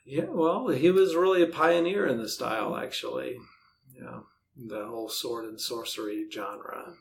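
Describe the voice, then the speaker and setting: A man's voice: medium pitch, expressive, a little slow, vocal fry. Conversational man with faint background noise. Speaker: podcast host and author being interviewed. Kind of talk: casual conversational interview.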